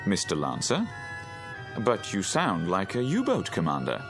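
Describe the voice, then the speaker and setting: Low-voiced, courteous ship's captain. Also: male voice, huge pitch swings, over loud music, exaggerated voice. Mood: grave. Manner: polite, measured, formal. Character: courteous, steady ship's captain, a man in authority. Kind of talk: radio drama acting.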